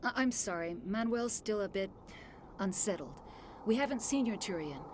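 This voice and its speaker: A woman's voice: medium pitch, lively intonation, moderate pace, background noise, close to an everyday voice. A woman voicing a shaken middle-aged female lead scientist who explains things earnestly and apologetically.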